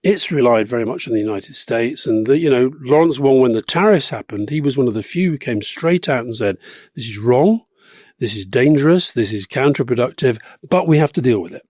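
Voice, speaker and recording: A man in his sixties, co-hosting a podcast, telling stories in an animated way with repeated phrases and laughs. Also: medium pitch, brisk, no echo, clean studio sound. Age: 60s. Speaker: podcast co-host. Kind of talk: casual political chat.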